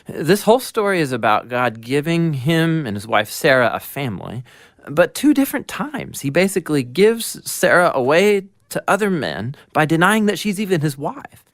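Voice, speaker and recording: A man co-narrating an educational explainer in a casual, conversational, animated storytelling way. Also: on the high side, normal speed, dry recording, clean studio sound.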